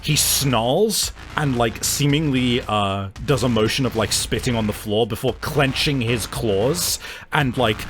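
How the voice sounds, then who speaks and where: A man running a tabletop roleplaying game as game master, narrating in a halting, improvised way. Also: medium pitch, fairly bright tone, a little hiss or hum.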